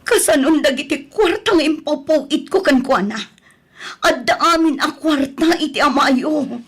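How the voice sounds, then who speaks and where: Slow high-voiced heartbroken Filipino mother played by actress. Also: lively intonation. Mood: anguished, weeping. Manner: slow, tearful, lamenting. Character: heartbroken betrayed wife and mother, weeping. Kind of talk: Ilocano audio drama acting.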